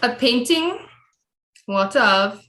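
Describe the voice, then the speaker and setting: A woman's voice: a little high, animated, drawn-out words, sharp tone, full character voice. An actress playing a proud older French queen mother in an audio play, speaking formally and imperiously. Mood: defensive, stern. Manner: formal, imperious, terse retorts. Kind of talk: theatrical audio play acting.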